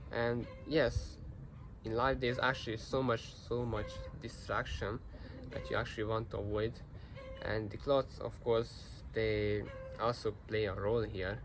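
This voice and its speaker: Hungarian-native teen guy vlogging, rambly and sincere, no real mic, noisy background. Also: lowish voice. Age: teens. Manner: rambling, earnest, many fillers and restarts. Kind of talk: casual self-reflective talk to camera. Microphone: no microphone, built-in device mic. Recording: noisy built-in mic recording.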